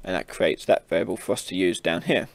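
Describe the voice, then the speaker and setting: Low-pitched man narrating a software tutorial. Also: brisk, creaky, slight background noise. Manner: casual, explains step by step while demonstrating. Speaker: tutorial host. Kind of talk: informal screen-recorded software tutorial narration.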